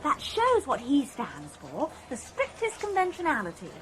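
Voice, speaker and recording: A woman's voice: high voice, huge pitch swings, moderate pace, a bit bright, slight background noise, full character voice, low-quality recording. An actress playing a gossipy, prim young woman who scolds her friend about convention. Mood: gossipy scolding.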